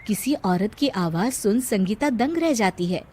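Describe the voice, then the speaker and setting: A woman's voice: mid-range voice, animated, a little slow, over loud music. A woman reading scripted narration for a cartoon moral story as a storyteller.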